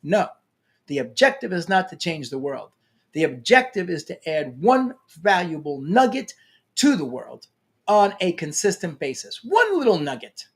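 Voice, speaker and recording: Lively New York man giving a pep talk. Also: high-pitched. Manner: energetic, emphatic, playful. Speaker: LinkedIn coach. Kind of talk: motivational talk to camera.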